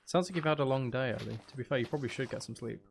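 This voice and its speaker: A man's voice: medium pitch, racing, gravelly. A man casually chatting on a live game stream, musing aloud and talking to viewers as he plays. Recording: faint background noise.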